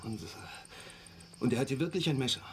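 An actor playing an agitated, stern father in a German audio drama, halting and probing. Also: mid-range voice, slight background noise, close to an everyday voice.

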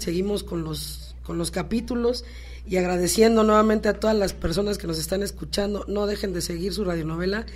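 Female guest on live radio, medium pitch, unscripted closing remarks. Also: darkish tone. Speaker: radio show guest, radio drama actress.

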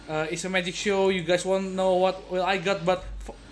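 A young adult man giving casual, playful gaming commentary to his viewers like an excitable showman. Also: high-pitched, moderate pace. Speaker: game streamer. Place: indoor gaming setup at home. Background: game music.

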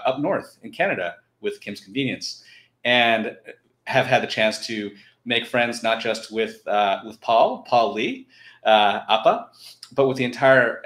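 A man's voice: mid-range voice, a bit bright. American man co-hosting in an echoey room. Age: middle-aged. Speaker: co-host of a livestream event. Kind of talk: informal welcome speech. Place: indoor room at home, on a video call. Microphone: headset. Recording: roomy, echoing home recording.

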